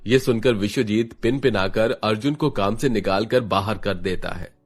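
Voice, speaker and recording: A man's voice: on the low side, moderate pace, soft background music. A man narrating a scripted story in a dramatic storytelling style.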